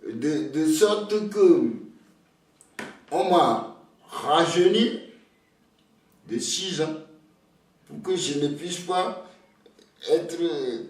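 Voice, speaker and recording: Slow older man, very echoey room. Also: fairly high pitch, animated, recorded from a distance. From Togo. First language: Ewe. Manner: slow, hesitant, repeats words when starting sentences. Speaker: interviewee, elder. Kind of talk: slow reflective storytelling.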